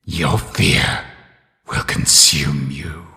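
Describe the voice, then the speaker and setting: A man voicing a demonic, menacing nightmare animatronic in a horror game, with a gravelly growl and slow, cruel delivery. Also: very deep voice, steady tone, bright, forceful tone, full character voice. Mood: sinister. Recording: long cavernous reverb.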